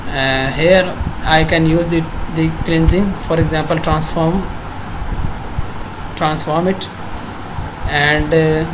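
A young man with a Pakistani accent giving a halting software demonstration in non-native English phrasing. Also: a little high. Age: young adult. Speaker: student presenter. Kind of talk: screen-recorded software demonstration. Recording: noisy home screencast, steady background hum. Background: constant clear background noise.

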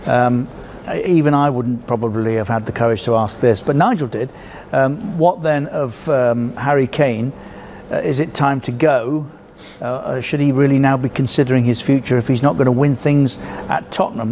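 A man's voice: mid-range voice, steady tone, normal speed, few pauses, darkish tone, a little hiss or hum. A middle-aged man presenting football in a TV studio, delivering links with dry, wry remarks. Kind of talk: sports broadcast presenting.

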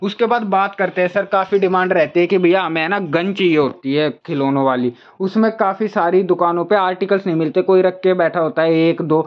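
A man's voice: high voice, brisk, few pauses, highs cut off. A man hosting a walking tour of a crowded wholesale toy shop, asking the seller for prices and repeating each one back to his viewers. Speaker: market video host.

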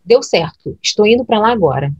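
A woman's voice: mid-range voice, lively intonation, brisk, darkish tone, breathy edge, muffled recording. A woman playing a seductive, scheming female follower in an audio drama, with a teasing manner. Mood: calculating. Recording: music under the voice.

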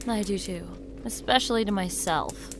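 A woman's voice: mid-range voice, normal speed, natural voice. A woman playing a tough, wry space commander in an audio drama, terse and dry. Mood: brisk, commanding. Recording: clear background noise under voice.

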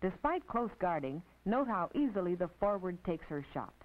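An American woman narrating an instructional film as a teacher, in a precise, didactic, scripted manner with measured phrasing. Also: fairly low pitch, very animated. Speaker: narrator and physical education instructor. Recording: tinny, band-limited 1950s film soundtrack.

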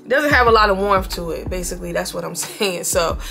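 A young woman with a bright, clear voice and a slight sniffle from a cold, chatting casually through a makeup demo. She speaks in a relaxed, friendly way straight to the camera from her bedroom, with soft music underneath. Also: on the low side, fast. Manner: relaxed, chatty, addresses viewers as y'all. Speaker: beauty vlogger. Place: bedroom, indoors, seated before a headboard.

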